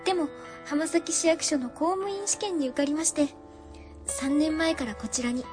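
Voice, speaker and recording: A woman's voice: high-pitched, normal speed, music in the background, natural voice. A woman playing a shy, polite young city office employee, hesitant and deferential, in a radio drama. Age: young adult.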